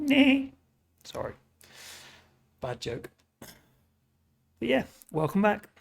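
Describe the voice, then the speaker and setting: A tired British man with a gravelly, hoarse edge and a stuffy, sniffly nose reads verse aloud at an unhurried pace. His voice sits a little high for a man. Between readings he chats in a self-mocking way. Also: very animated. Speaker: storyteller host. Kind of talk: casual intro, then reading an epic poem aloud.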